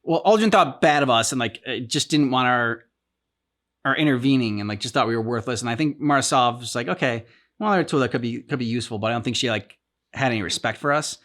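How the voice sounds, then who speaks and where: A man in a casual discussion, talking as a reaction show host in a halting, rambling way as he thinks aloud. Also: mid-range voice, fairly bright tone. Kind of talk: casual discussion of video game story.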